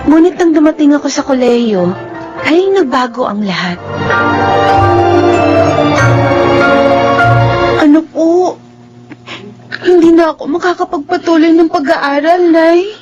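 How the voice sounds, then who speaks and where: An actress playing an earnest, worried but hopeful young college student with a Filipino accent in a radio drama. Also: female voice, high-pitched, moderate pace, lightly acted. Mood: sad. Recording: dull lo-fi audio with faint music.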